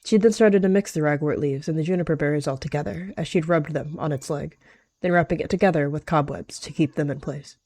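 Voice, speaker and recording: A woman's voice: deep voice, a little fast, mellow, no room sound. A woman narrating and voicing an animal roleplay in the third person. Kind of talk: narrated text roleplay, read aloud.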